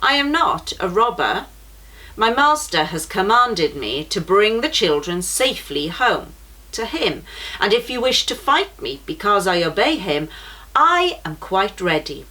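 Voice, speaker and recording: A woman voicing a brave young male guide in a children's storybook, speaking calmly and steadily. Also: mid-range voice, measured pace, heavily acted, low-quality recording. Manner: calm and steady.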